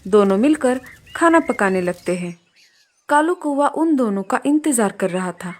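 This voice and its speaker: A woman storyteller with a slightly high voice. She delivers scripted narration for a children's cartoon tale. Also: animated, measured pace, darkish tone.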